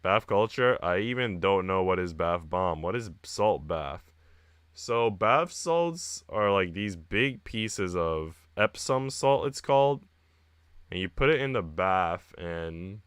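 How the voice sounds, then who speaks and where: A man's voice: lowish voice, fairly bright tone, smooth, dry recording. A man live streaming, switching between casual chat with viewers and reading story text aloud. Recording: music playing behind the voice. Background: background music.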